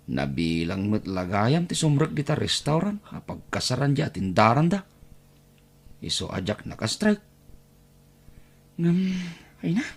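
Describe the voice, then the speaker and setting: Man acting a hesitant, stammering young nephew, swooping pitch. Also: slightly rough, full character voice. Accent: Ilocano. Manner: stammering, hesitant, many interjections. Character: Bentong, hesitant, meek nephew receiving gifts from relatives. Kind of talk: Filipino audio drama acting.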